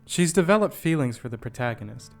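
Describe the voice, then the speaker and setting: A man's voice: medium pitch, lively intonation, fairly quick. A man reading a scripted video essay about games, telling the story with dry, self-deprecating asides. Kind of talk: scripted narration of a game review. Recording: music behind the voice. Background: background music.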